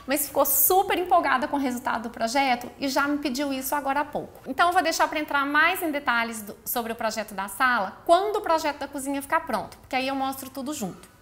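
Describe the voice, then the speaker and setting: Bright, high-voiced Brazilian woman presenting. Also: expressive, fast, hardly any pauses. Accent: Brazilian Portuguese. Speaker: architect presenting her interior design project. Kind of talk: informal explanatory presentation.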